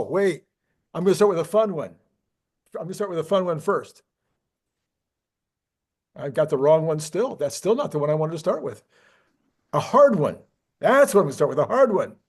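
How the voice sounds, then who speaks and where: A man hosting a casual interview, putting questions in an informal, conversational way. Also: high-pitched. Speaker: interview host.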